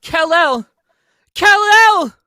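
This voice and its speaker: A woman's voice: very high voice, expressive, extremely slow, pushed and bright, no echo, full character voice. A woman playing a frightened, desperate wife and mother in an old-time radio drama, pleading breathlessly and melodramatically. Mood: anguished.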